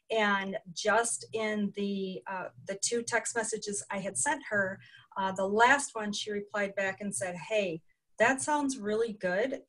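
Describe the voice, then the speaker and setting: A woman's voice: medium pitch, a bit bright, rough recording. An older woman, a retired health coach, answering interview questions over a video call from a small room at home, in an earnest, matter-of-fact way. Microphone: webcam video call.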